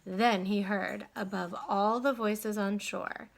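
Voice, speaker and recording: A woman reading a children's story aloud in an expressive, dramatic way with deliberate phrasing. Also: mid-range voice, creaky, a little hiss or hum. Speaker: storybook reader.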